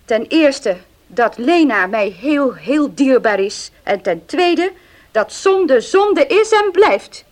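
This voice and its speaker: An actress playing a stern, pious and outspoken old farm maid in a radio drama. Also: female voice, high voice, big pitch swings, slow pace, full character voice. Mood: stern, reproachful. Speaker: actor in a radio play. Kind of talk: Dutch radio drama acting.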